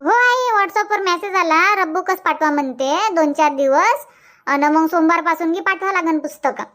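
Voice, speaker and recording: A woman's voice: very high voice, measured pace, a bit bright. A woman voicing an eager, agreeable young village mother in a cartoon. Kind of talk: cartoon dubbing.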